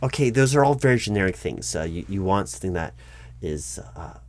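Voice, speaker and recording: A man playing a patient but baffled corporate recruiting manager in a one-sided interview roleplay, speaking in a halting, stammering, diplomatic way. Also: low voice, big pitch swings, slight background noise. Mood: politely probing.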